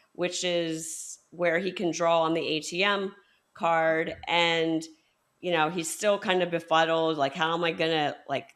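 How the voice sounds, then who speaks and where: A woman hosting a book podcast, recounting a novel's plot in a measured way with fillers. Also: lowish voice, fairly bright tone. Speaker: podcast host. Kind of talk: interview, summarizing the plot.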